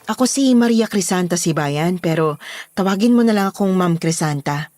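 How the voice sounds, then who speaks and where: A woman's voice: on the low side, expressive, moderate pace, darkish tone, dry recording, lightly acted. Woman playing Filipino household mistress, bossy, protective daughter, instructing tone, horror audio drama, dry studio sound. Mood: matter-of-fact.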